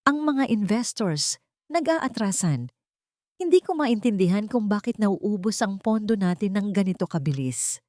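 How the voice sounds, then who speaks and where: A woman's voice: medium pitch, sing-song, measured pace, a little mellow, no echo, studio quality, voice pushed up. A woman voicing a drunk, despairing rich husband in an audio drama, groaning and lamenting.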